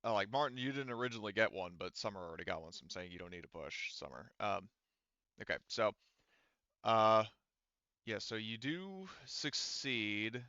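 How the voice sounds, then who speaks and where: Guy gming a tabletop rpg, smooth clear voice, casual n kinda sweary, dull lo-fi audio. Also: lowish voice, big pitch swings, moderate pace, pushed and bright, no room sound. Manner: informal, mildly profane. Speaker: game master. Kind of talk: casual game mastering, narrating and explaining rules.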